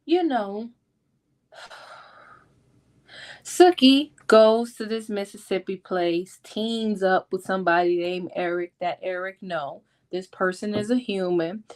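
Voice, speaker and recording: A woman talks at an unhurried pace in a medium-pitched voice. She is playful and sassy, full of slang, recapping a story casually as a book vlogger and slipping into characters' lines as she goes. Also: a little mellow. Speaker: book vlogger hosting a readalong discussion.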